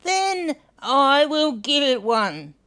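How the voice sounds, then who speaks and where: A woman voicing a gentle old male bilby from a storybook, tender and drawn-out, with an Australian accent. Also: sits high, sing-song, pitched above the performer's own voice, exaggerated voice. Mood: earnest.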